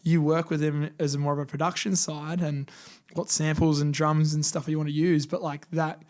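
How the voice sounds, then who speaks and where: Australian male, late 20s, music producer guest, slightly high-pitched, easygoing and chatty. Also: moderate pace. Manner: relaxed, laughs often, lots of fillers like "like" and "you know". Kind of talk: casual chat.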